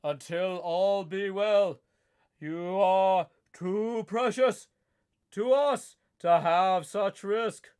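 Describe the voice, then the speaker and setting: Man voicing a solemn old professor, high and slow. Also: a little mellow, smooth. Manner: measured, solemn, deliberate. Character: elderly scholarly professor leading vampire hunters. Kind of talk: dramatic reading aloud.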